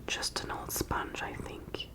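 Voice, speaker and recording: A woman voicing an excitable, easily startled young girl in a whispered, breathy storybook reading. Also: takes it slowly, close to an everyday voice. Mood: puzzled. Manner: exclaiming and indignant.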